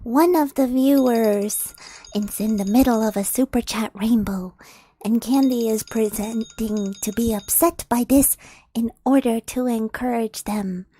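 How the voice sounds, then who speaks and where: A woman's voice: a little high, slow, pauses often, a little mellow, husky. A young woman streams and reads fanfiction aloud in a giggly, flustered way, with frequent laughs. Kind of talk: casual stream chat and read-aloud.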